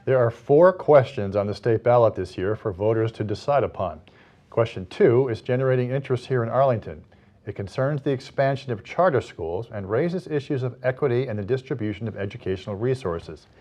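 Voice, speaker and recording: A man's voice: mid-range voice, darkish tone. A man with an American accent reads scripted local news as an anchor.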